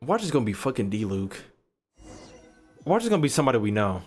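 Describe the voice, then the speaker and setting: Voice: medium pitch, big pitch swings, fast talker, faint music behind. A streamer giving casual, profane, joking reactions while playing a game on a livestream. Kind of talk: casual gaming livestream commentary.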